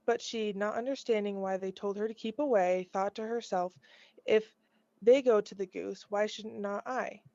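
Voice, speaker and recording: A woman reading a children's fairy tale aloud, a little haltingly. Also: medium pitch, steady tone, a little mellow, dry recording. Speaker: storybook reader. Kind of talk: scripted children's story read aloud. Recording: dull, lo-fi dry recording.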